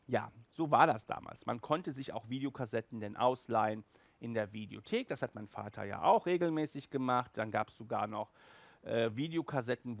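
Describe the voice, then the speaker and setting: A man's voice: medium pitch, creaky, no echo. A middle-aged man hosting a collector show, chatting casually and enthusiastically in colloquial fan talk into a headset mic. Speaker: host of a collector video show. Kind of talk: casual monologue to camera about nostalgic collectibles. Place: small room with shelves, indoors.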